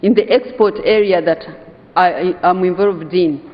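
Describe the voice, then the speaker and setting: A woman from Uganda with a Ugandan accent giving an earnest, emphatic conference speech, slowing down to stress key phrases. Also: fairly low pitch. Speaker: conference speaker, hotel owners' association vice chair. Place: conference hall. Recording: dull sound in a reverberant hall.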